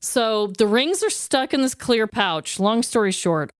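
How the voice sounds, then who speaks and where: A woman's voice: a little high, expressive, a little slow, dry recording, clean studio sound. A woman co-hosting a podcast in casual comedic chat, telling stories in a self-deprecating way and swearing freely. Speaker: podcast co-host.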